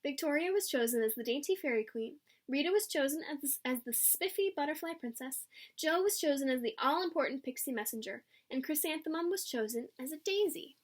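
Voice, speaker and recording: A woman's voice: high voice, animated, a little fast. A woman reading a children's picture book aloud expressively, acting out the dialogue. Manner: acts out dialogue with varied voices. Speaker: storybook reader.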